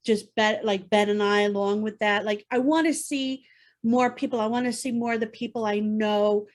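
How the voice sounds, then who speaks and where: A woman's voice: mid-range voice, fairly even intonation, moderate pace. A woman co-hosting a podcast, chatting casually and using many filler words. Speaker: podcast co-host.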